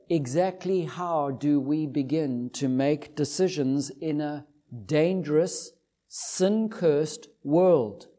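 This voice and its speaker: A man's voice: high-pitched, mellow. A man with a Zimbabwean accent preaching an expository sermon in a didactic way, driving points home with emphatic repetition.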